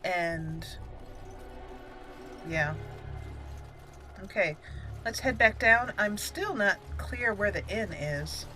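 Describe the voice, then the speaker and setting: A woman giving playful, expressive let's play commentary, chatting and thinking aloud as she explores a game. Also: mid-range voice, drawn-out words, pauses often, faint music behind. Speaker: gaming video host.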